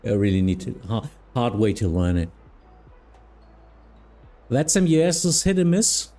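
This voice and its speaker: A man from Germany talks in English, his second language, with a clear German accent. His voice sits in a mid pitch with a dark, relaxed tone. He chats loosely with viewers, pausing and restarting as he searches for words. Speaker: flight sim streamer and air traffic control mentor. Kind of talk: casual live-stream chat with viewers.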